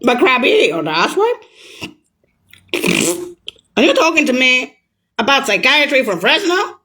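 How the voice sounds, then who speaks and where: A woman talking alone in a rambling, profane rant that jumps between topics and breaks into sudden shouts. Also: high-pitched, sing-song, unhurried, a little hiss or hum. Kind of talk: rambling solo monologue.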